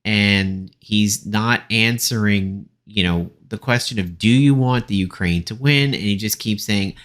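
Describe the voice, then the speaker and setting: A man with a fairly low voice delivers informal political commentary. He works through his notes haltingly, with an opinionated, dismissive way of putting things. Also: a bit bright. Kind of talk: informal debate recap from notes.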